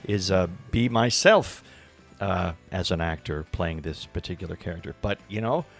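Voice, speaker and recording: A man, a stage actor being interviewed, speaking casually in an earnest, thoughtful, halting way. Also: low-pitched, gravelly, faint music behind.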